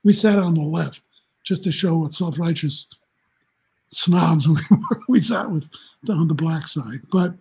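Husky-voiced man in his seventies. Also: a little high, measured pace, a little mellow. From New Jersey, United States. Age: 70s. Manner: rambling, anecdotal, chuckling, with frequent restarts. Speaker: guest, journalism professor. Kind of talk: casual interview storytelling. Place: home room, indoors. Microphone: video call.

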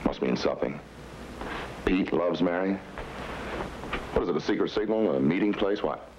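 An American actor playing a middle-aged, tough, sardonic police lieutenant in a 1950s TV crime drama. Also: male voice, low-pitched, animated, normal speed. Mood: probing. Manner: clipped, sardonic. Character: tough, dry, pressing male police lieutenant. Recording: dull vintage TV soundtrack, heavy noise.

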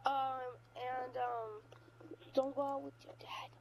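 A woman making an improvised prank call in a deadpan, repetitive way over online voice chat. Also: high-pitched, slow pace, creaky, a little hiss or hum. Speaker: prank caller.

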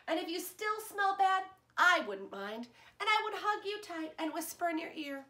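A loving, patient mother, voiced by a woman reading aloud, soothing her child with warm promises. Her voice is high and bright, with a lively, singsong rise and fall. The room gives it a noticeable echo. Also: normal speed, exaggerated voice. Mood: tender. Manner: tender, reassuring storybook delivery. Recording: roomy echo.

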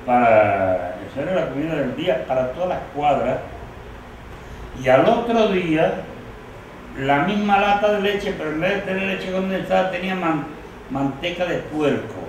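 An older man born in Galicia recalls his years as a rebel soldier, tagging his sentences with a questioning "no?". His voice sits a little high. The sound is very roomy and picked up from across the room. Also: animated, moderate pace, slight background noise. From Galicia, Spain. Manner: reflective, with many "¿no?" tags. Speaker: former rebel soldier interviewed. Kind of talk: recollecting in an interview. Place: living room, indoors. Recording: very echoey room, mic far off.

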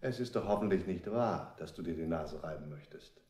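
Low, dark, threatening male interrogator. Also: lively intonation, fairly quick, echoey room. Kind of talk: avant-garde German radio play acting.

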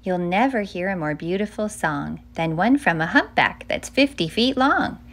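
A woman's voice: fairly low pitch, expressive, moderate pace. Woman reading a children's book aloud. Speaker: children's author and librarian hosting a storytime.

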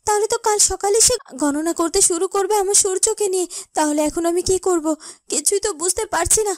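A woman's voice: very high-pitched, no echo, studio quality. A woman playing a young, persuasive, anxiously scheming queen in a fairytale cartoon. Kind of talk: Bengali fairytale cartoon dubbing.

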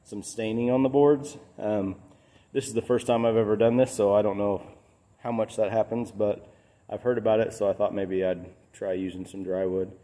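A man's voice: fairly low pitch, steady tone, normal speed, warm tone. A man speaking casually and plainly as he explains a hands-on workshop project as a DIY host. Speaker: DIY builder and channel host. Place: large metal-roofed workshop building.

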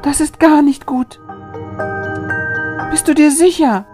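A man's voice: very high-pitched, steady tone, takes it slowly, music in the background, voice pushed up. A man voicing a mysterious young girl, old beyond her years, who speaks in earnest warnings. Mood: worried, earnest.

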